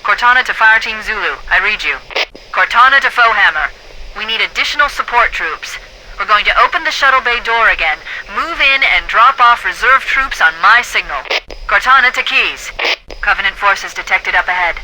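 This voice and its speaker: A woman playing a poised, confident military AI companion in a video game, giving crisp tactical orders and briefings. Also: medium pitch, expressive, moderate pace, sharp tone, slight background noise, poor audio. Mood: brisk, commanding. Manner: crisp, authoritative, articulate tactical delivery. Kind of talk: video game voice acting, military radio dispatches.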